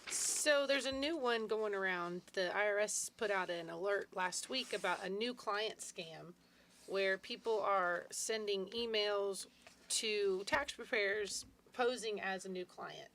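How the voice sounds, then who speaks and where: A woman co-hosting a radio talk show, calmly explaining in a matter-of-fact way. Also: mid-range voice, unhurried, a little hiss or hum. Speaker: radio co-host, tax preparer. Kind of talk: casual radio chat.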